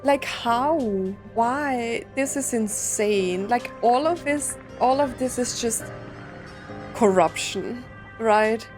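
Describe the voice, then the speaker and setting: A woman's voice: a little high, slow, a little mellow. A woman giving casual game playthrough commentary, musing aloud and asking herself questions as she follows the story. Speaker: game streamer. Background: game music.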